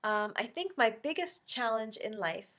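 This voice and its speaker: A woman's voice: on the high side, expressive, moderate pace, fairly bright tone. A middle-aged woman from Canada, congested with a cold, chatting casually through a Q&A for her vlog with lots of ums and you-knows. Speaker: YouTube vlogger. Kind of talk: casual Q&A chat to camera.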